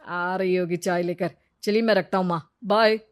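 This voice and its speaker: A woman's voice: lowish voice, steady tone, normal speed, smooth, natural voice. A woman voicing a bossy, fussy cartoon husband who scolds and makes demands like a mother-in-law. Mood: dutiful.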